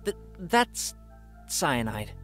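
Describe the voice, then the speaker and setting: Woman playing male kidnapper for horror game: deepened voice, quiet, measured, calmly menacing. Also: exaggerated voice. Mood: calm, explaining. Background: game music.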